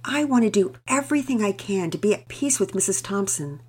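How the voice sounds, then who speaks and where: A woman doing a soft-spoken, kindly mother. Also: mid-range voice, expressive, brisk, voice pushed up, close to an everyday voice. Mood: gentle. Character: gentle, faithful middle-aged mother, calm and kind.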